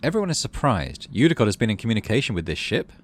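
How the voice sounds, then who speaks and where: Animated low-voiced man narrating a game. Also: quick pace. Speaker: gaming channel host. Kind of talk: expressive narration of a game script.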